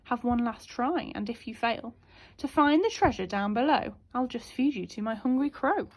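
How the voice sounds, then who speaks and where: Woman playing a male lizard wizard, storybook villain, bossy, menacing, lively high-pitched delivery. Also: moderate pace, low-quality recording. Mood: threatening. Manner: commanding, menacing.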